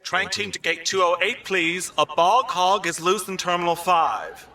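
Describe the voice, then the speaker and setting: An actor playing a bossy spaceport announcer, clipped and official, over a public-address speaker in a radio comedy. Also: male voice, high-pitched, measured pace, bright, forceful tone, heavily acted. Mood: officious. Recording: distant tannoy with music behind.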